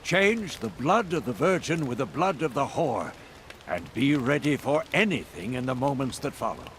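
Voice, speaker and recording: Fantasy game schemer: conspiratorial, cunning, deliberate, medium pitch, faint ambient noise behind. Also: male voice, very animated, a bit bright. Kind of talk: fantasy video game voice acting.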